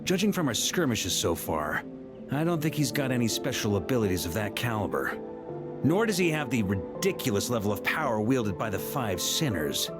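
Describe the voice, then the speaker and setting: A seasoned knight leader of an expedition, voiced by a man for a video game. He talks strategy with composed, dry confidence and an animated pitch. Game music runs behind him. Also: moderate pace, natural voice.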